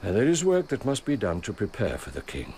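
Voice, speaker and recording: Solemn old merchant, animated and low. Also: male voice, brisk. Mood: fervent. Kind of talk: radio drama acting. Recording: radio drama with faint background noise.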